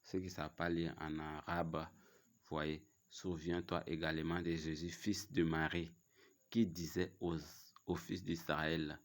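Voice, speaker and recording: Man with a cold, deep voice, preaching to viewers, halting and insistent. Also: little pitch movement. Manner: challenging, repetitive, halting. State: has a cold. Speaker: religious video host. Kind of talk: casual religious preaching to camera.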